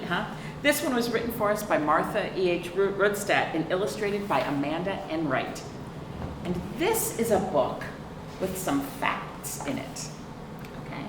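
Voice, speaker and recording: A woman hosting a children's storytime, reading aloud expressively and prompting young listeners with simple questions. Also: lowish voice. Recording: roomy, distant mic, clearly noisy.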